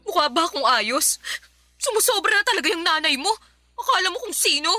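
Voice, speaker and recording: Very high-pitched, whiny young woman. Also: sing-song, fairly quick, sharp tone, no echo, heavily acted. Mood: aggrieved, whining. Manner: whiny, argumentative, talks back. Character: defiant, aggrieved young pregnant live-in girlfriend. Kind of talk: Filipino radio drama acting. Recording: music bed behind the voice.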